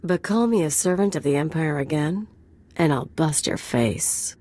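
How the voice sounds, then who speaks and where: An actress playing a brash, ambitious young mercenary in a video game, with a sly, sardonic drawl. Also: female voice, low-pitched, lively intonation, moderate pace, mellow, dry recording. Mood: cocky, challenging. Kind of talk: video game character dialogue.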